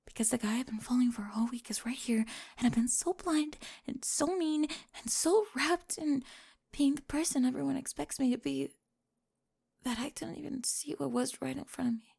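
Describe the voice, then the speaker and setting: Casting: adult woman as teen cheerleader bully gone vulnerable, high creaky voice, breathy near-whisper, emotional ASMR roleplay confession. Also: normal speed, a little mellow, exaggerated voice. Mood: remorseful, vulnerable. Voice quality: breathy, often dropping to a whisper. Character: high school cheerleader bully, remorseful, vulnerable, lovestruck teenage girl. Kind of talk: ASMR romantic roleplay monologue.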